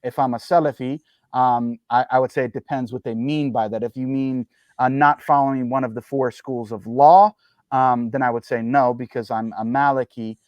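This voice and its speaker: A man's voice: mid-range voice, fairly even intonation, normal speed, dry recording, dull audio. A man from New Jersey talking as an interview guest, explaining his views carefully with many ums and uhs and careful qualifications.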